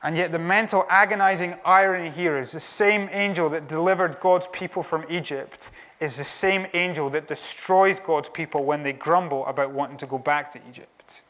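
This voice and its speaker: A Scottish preacher with a fairly high voice delivers a sermon. His delivery is animated and conversational, and he slips in wry asides. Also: normal speed. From Scotland.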